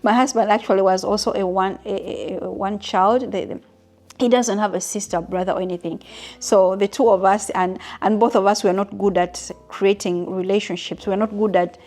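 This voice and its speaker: A woman's voice: medium pitch, steady tone, moderate pace, a little mellow. Chatty woman in her fifties, from Uganda. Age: 50s. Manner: chatty, frequent restarts and asides to viewers. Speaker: vlogger. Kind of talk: casual Q&A talking to viewers.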